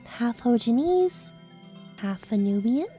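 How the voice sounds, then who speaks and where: Woman playing a dreamy, high-voiced, eerie teenage girl. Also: animated, warm tone, soft background music. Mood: curious musing. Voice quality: dreamy, distant. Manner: condescending, unhurried musing. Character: eerie, playful barefoot teenage spirit-summoning girl. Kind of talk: fantasy audiobook character acting.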